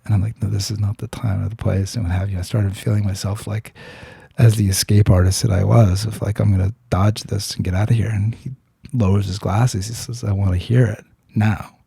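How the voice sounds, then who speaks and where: A man with a low, gravelly, very dark voice, hosting a podcast and telling a personal story in an earnest, searching way. He restarts his sentences often and throws in blunt swearing. His tone is husky and the sound is close and dry. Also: flat delivery, brisk, dry recording. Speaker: podcast host. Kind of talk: candid storytelling in conversation.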